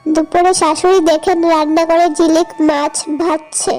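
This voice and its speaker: Female cartoon narrator: very high voice, even intonation, scripted storytelling delivery. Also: normal speed, over loud music.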